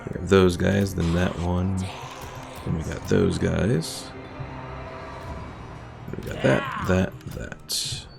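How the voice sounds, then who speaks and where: A man with a deep voice and some gravel in it streams a strategy game. He chats casually as he plays and reads out comments, with music clearly playing behind him. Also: sing-song, takes it slowly, long pauses. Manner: chatty, reading viewer comments aloud. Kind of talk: casual live gameplay commentary.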